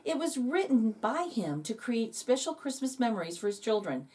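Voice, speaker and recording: A woman reading a children's poem aloud in an expressive, dramatic storytelling manner. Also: a little high, normal speed, breathy edge. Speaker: children's story reader and host. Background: background music.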